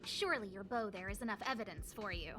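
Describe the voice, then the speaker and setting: A woman's voice: mid-range voice, fast, over music, close to an everyday voice. A woman playing an earnest teller of heroic space tales in a video game, with dramatic delivery. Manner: dramatic storytelling.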